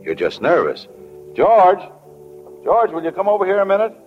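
A man's voice: high-pitched, wildly swooping pitch, fast, music in the background. A man playing a reassuring, fatherly small-town father of the bride in an old-time radio drama. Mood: soothing, urgent. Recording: dull old radio recording.

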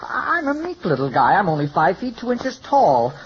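A man's voice: high-pitched, wildly swooping pitch, moderate pace, full character voice. An actor playing a timid, apologetic little male hairdresser in a radio comedy, with a hesitant, fretful, stammering delivery. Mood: fretful, self-deprecating. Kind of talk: 1940s radio comedy acting. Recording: dull, noisy vintage radio recording.

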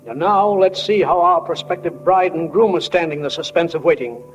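A woman's voice: on the low side, normal speed, faint music behind, natural voice. A woman playing a folksy, plainspoken small-town stage manager who narrates an old radio drama in a dull, reverberant recording. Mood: folksy, musing.

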